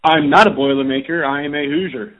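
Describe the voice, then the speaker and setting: A man's voice: fairly high pitch, fairly even intonation, brisk, fairly bright tone. A man hosting a podcast, interviewing his guest in a friendly, chatty way. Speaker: podcast host. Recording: tinny phone-like line.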